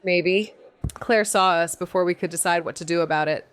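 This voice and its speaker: An actress playing a wry, watchful young amateur sleuth in a noir audio drama, dry and understated. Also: female voice, on the low side, fairly even intonation, normal speed, clear, resonant tone, lightly acted. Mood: wry, brooding. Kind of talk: noir audio drama dialogue and first-person narration.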